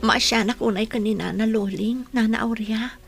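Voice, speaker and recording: A woman's voice: fairly high pitch, steady tone, moderate pace, gravelly, husky. A woman playing an emotional, caring young village woman in a sentimental audio drama, plaintive and earnest. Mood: grieving. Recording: music bed behind the voice.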